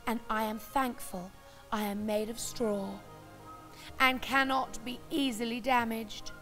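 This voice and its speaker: A woman's voice: on the high side, steady tone, slow, fairly bright tone, faint music behind. A woman voicing a mild, contented male scarecrow in a storybook, plain and matter-of-fact.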